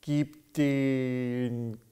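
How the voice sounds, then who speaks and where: A man in his thirties playing a slow-witted, slangy young father who confidently poses as gifted in sketch comedy. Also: mid-range voice, fairly even intonation, mellow, smooth. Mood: self-assured. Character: lazy dim young father posing as gifted doctor. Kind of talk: sketch comedy character acting. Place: classroom.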